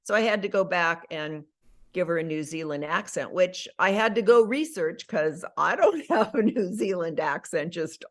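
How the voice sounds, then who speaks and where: Chatty middle-aged woman, lowish voice. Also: normal speed. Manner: chatty, animated, full of "like" and self-corrections. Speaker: actress and audiobook narrator. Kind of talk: casual interview chat.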